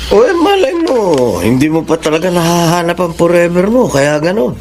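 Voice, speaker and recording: A man's voice: high voice, big pitch swings, a little fast. A male actor with a Filipino accent playing a chummy, nosy, encouraging friend in a radio drama, coaxing as he talks. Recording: noisy background ambience.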